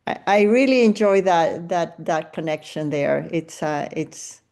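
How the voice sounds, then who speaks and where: Low-pitched older woman podcast host. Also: expressive, measured pace, a little mellow. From Venezuela. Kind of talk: casual interview chat.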